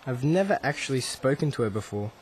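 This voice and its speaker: A man's voice: medium pitch, lively intonation, fast talker, a little hiss or hum, natural voice. An actor playing a nervous, lovestruck teenage boy in a radio drama, asking anxious, eager questions. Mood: pleading, nervous. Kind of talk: radio drama acting for English learners.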